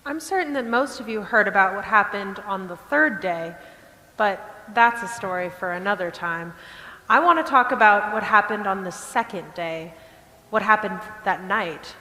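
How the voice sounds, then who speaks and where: Confiding, sad woman disciple played by a woman: medium pitch, bright tone, earnest church drama in a reverberant hall. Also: moderate pace, close to an everyday voice. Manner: addresses listeners directly, earnest. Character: despairing woman friend of Jesus, confiding storyteller. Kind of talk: church dramatic monologue reading.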